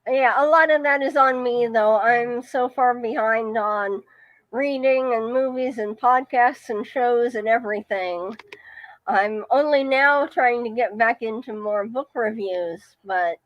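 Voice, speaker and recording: A woman's voice: on the high side, measured pace, no room sound, muffled recording. A woman talking casually as a guest on a podcast. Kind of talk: casual conversational talk.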